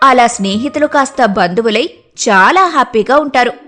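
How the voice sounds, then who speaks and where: A woman's voice: fairly high pitch, fairly quick, sharp tone, faint music behind. A woman narrating a moral story from a script in a storytelling style.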